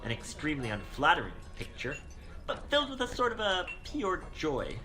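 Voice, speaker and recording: A man's voice: on the high side, huge pitch swings, moderate pace, sharp tone, faint music behind, exaggerated voice. A man playing an eccentric, unsettling stranger in a radio play, rambling theatrically and cryptically. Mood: whimsical, giddy. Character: eccentric, unsettling male stranger, whimsical turning sinister.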